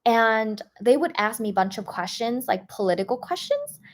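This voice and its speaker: A woman's voice: a little high, lively intonation, normal speed. A young woman from northern Vietnam with a Northern Vietnamese accent, speaking English as a second language and telling stories casually on a livestream, full of "like" fillers and restarts. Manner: chatty, many "like" fillers and restarts. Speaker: YouTuber.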